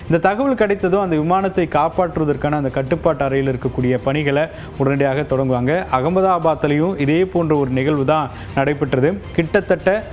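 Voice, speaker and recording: Slightly high-voiced Tamil man reporting news. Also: normal speed, a little mellow. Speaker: special news correspondent. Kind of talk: semi-scripted explanatory news report. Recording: slightly dull sound, background noise.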